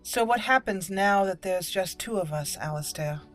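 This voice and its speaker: Woman gamer chatting and reading out rpg lines, middling pitch, faint music under it. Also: normal speed, fairly bright tone. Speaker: let's play host. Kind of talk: casual game roleplay commentary, reading dialogue in character.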